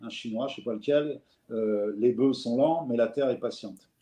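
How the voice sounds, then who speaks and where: Parisian host, musing and rambling, mid-pitched, echoey room. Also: male voice, normal speed, warm tone. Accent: Parisian French. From France. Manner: rambling, reflective, many fillers and restarts. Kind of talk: casual live conversation. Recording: roomy echo.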